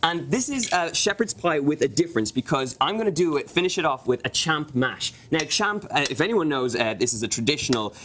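A man's voice: a little high, sing-song, fairly bright tone, a little hiss or hum. A young man with an Irish accent, a guest cook giving an upbeat cooking demonstration in a TV studio kitchen. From Ireland. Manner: quick, upbeat and chatty.

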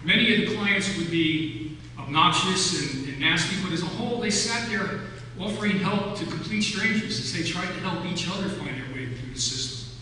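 An older man gives a sermon in a deliberate, phrase-by-phrase delivery. His voice sits a bit high for a man and carries through a big church hall with long, heavy reverb. A noticeable hum runs under the distant recording. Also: animated, bright, forceful tone. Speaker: preacher. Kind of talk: sermon, telling stories from notes. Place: large church sanctuary, at the pulpit.

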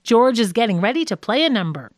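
Female radio singer as a woman narrator plays her, hurried, high and very animated, dry sound. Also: no echo. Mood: hurried, chirpy. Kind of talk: reading quoted comedy script in an audiobook.

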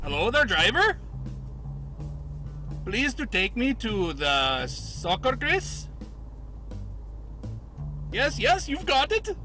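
Very high-pitched passenger. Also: male voice, wildly swooping pitch, takes it slowly, pauses often, music in the background, full character voice. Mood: eager, pleased. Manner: broken English, insistent. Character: eager foreign soccer fan in broken English. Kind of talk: comedic live-action game cutscene acting.

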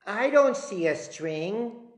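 A man's voice: sits high, animated, slow pace, reverberant, pitched above the performer's own voice. A man voicing anxious, curious young children in a storybook read-aloud.

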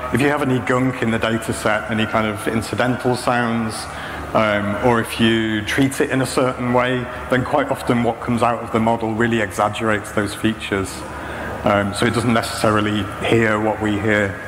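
A man in his thirties presenting a technical talk, explaining step by step in a measured, hesitant way. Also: mid-range voice. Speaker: conference speaker. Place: indoor talk stage with projection screen. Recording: echoey hall PA with clear background noise.

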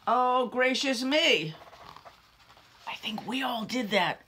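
A woman's voice: on the high side, lively intonation, slow pace, bright, forceful tone, slight background noise. A middle-aged woman reading a picture book aloud to young children, theatrical and full of questions to them. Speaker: nursery school teacher reading aloud. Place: small room at home or office, indoors.

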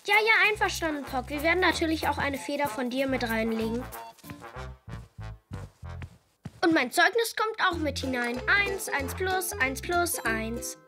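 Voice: high-pitched, lively intonation, normal speed, few pauses, over music. A performer voicing a young cartoon zebra boy, sweet, eager and earnest, in a dubbed children's show. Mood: thoughtful, eager.

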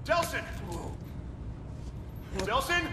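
A man's voice: very high voice, expressive, drawn-out words, background noise, exaggerated voice. An actor playing a stern, exasperated American lawman and older brother in a video game cutscene, plain-spoken, with ambience behind him. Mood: worried.

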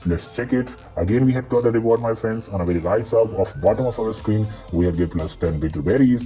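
Man with a deep monster voice filter. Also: warm tone, rough recording. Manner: spells codes letter by letter, repeats "my friends". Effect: pitched-down monster voice filter. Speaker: gaming channel host. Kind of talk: mobile game code tutorial. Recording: voice-over with faint guitar music.

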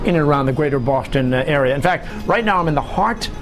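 A man's voice: on the high side, lively intonation, fast talker, over music. A middle-aged man hosting a food show, chatty and enthusiastic, with lots of filler words. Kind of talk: upbeat restaurant show presenting. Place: restaurant dining room, then TV studio set.

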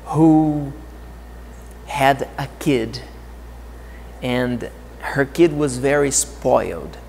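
A man in his thirties with a Brazilian Portuguese accent, teaching an English lesson to camera in a slow, clear, friendly way. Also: mid-range voice, long reverb tail, a little hiss or hum. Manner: deliberate, friendly teaching delivery. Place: outdoor courtyard of a TV set.

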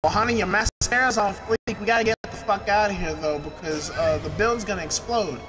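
A man's voice: high voice, fairly quick, lightly acted, rough recording. A man voicing a pompous, easily enraged middle-aged mad scientist in an improvised comic game fan dub, theatrically moving between muttering and shrieking. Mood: scheming. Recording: loud game music behind.

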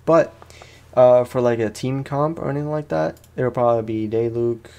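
A man's voice: mid-range voice, moderate pace, gravelly, a little mellow. A man giving casual let's play commentary as a gaming host, in a rambling, slangy, self-deprecating way.

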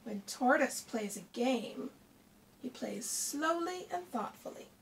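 High-voiced woman reading to children. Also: takes it slowly, gravelly. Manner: expressive, addressing children with questions. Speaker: primary school teacher reading to children. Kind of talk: picture book read-aloud. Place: small indoor room with shelves. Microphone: camera a short distance away. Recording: distant mic, faint background noise.

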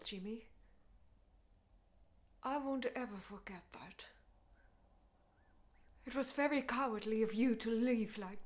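A woman performing a stage monologue as a grieving, bitter mother confronting her ex-husband, speaking in deliberate, emotionally intense phrases. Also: a little high, fairly bright tone. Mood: hushed, aching, then wounded, restrained.

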